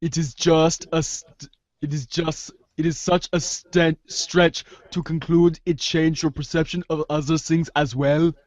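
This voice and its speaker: Man playing a pedantic, high-voiced analyst. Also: level tone, a little slow, dry recording, lightly acted. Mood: analytical. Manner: formal, analytical, stumbling over long phrases. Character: pedantic, probing amateur psychoanalyst, unflappable and wordy. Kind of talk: amateur reader's theater acting.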